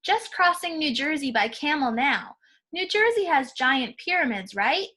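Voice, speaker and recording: A muddled globe-trotting crayon from a picture book, voiced by a woman, chatters in a high, bright voice. It is cheerful and breezy, never quite sure where it is. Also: expressive, a little slow, exaggerated voice.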